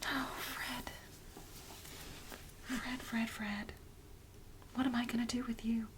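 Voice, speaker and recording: A woman in a soft-spoken ASMR role play as a fawning female lab assistant, mostly whispering in a breathy, halting, coaxing way. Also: fairly high pitch, steady tone, a little hiss or hum. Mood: apologetic, coaxing. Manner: halting, coaxing delivery with repeated phrases.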